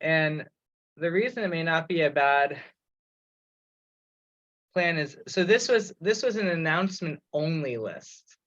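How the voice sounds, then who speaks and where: A man's voice: on the high side, animated, a little slow, pauses often, fairly bright tone. A man speaking casually in a meeting as an organizer, thinking aloud with some hesitation. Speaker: project organizer on a committee call. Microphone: remote teleconference call. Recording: dull, lo-fi conference call audio.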